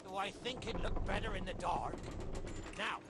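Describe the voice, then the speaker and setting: A man playing a wry, crafty undead pirate captain in a video game, with a gravelly voice and a theatrical West Country pirate accent. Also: sits high, moderate pace, very noisy, close to an everyday voice. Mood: instructing, sly. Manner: theatrical old seafaring dialect, wry. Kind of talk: video game character acting. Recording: music behind the voice. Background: loud game music.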